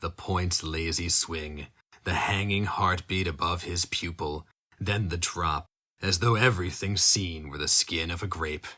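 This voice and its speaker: A man performs a dark fantasy story as a dramatic reading. His delivery is slow and heavy, and his voice sits fairly low. The tone is bright and forceful. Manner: slow, brooding, intense delivery. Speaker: narrator.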